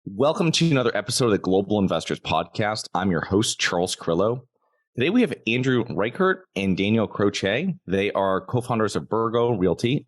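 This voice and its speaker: A man hosting a podcast, giving a brisk scripted sales pitch and asking guests questions. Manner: brisk sales pitch, repeats the web address. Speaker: podcast host. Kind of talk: scripted ad read and interview.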